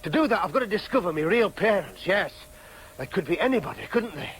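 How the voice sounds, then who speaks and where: An actor with a Yorkshire accent playing an agitated, obsessive adopted son in a radio drama, insistent and declamatory. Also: male voice, sits high, sing-song, fast, a bit bright, slight background noise, low-quality recording. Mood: exalted, brooding. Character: agitated, obsessive adopted son hungry for his true identity. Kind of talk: broadcast radio drama acting.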